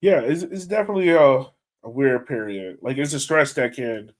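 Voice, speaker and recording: A man co-hosting a sports podcast in casual conversation, informal and a little halting as he thinks aloud. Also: lowish voice. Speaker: podcast co-host.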